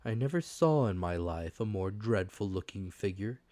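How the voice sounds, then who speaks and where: A man's voice: lowish voice, expressive, moderate pace, a little mellow, dry recording. A man reading a classic adventure novel aloud as dramatic audiobook narration.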